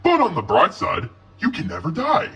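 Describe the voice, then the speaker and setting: A man's voice: on the high side, wildly swooping pitch, normal speed, sharp tone, recorded from a distance, pitched above the performer's own voice, heavily acted. A man voicing a sinister, gloating old man in a horror story. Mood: gloating, cheerful menace.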